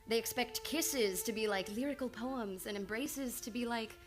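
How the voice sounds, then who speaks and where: A woman's voice: on the high side, moderate pace, over music, close to an everyday voice. An actress playing an earnest, reserved woman psychiatrist in an old-time radio drama, speaking formally and precisely. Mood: earnest, lecturing. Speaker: radio play actor. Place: radio studio.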